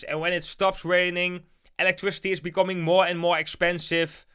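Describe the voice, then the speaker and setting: Clear, higher-pitched man explaining charts. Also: sharp tone. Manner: addresses viewers directly and informally. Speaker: host of a trading analysis video channel. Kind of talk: market chart commentary, explanatory.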